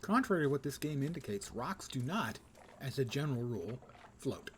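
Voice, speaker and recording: Wry man with lively, swinging pitch. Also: brisk, slight background noise. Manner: dry, wry, pedantic musing. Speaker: live streamer playing a video game. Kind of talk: casual solo gameplay commentary.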